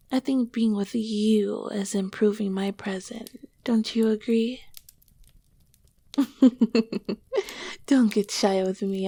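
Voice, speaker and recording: A woman playing an ancient, tender, teasing succubus queen in an intimate ASMR roleplay, soft-spoken and often dropping to a whisper. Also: mid-range voice, no room sound. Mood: playful, teasing. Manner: slow, gentle, affectionate. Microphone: close microphone, dry.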